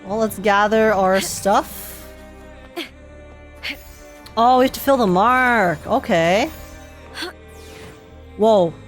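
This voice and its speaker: A young woman giving casual, excitable game reaction commentary, giggly and thinking aloud as she goes. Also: medium pitch, drawn-out words, pauses often. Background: faint game music.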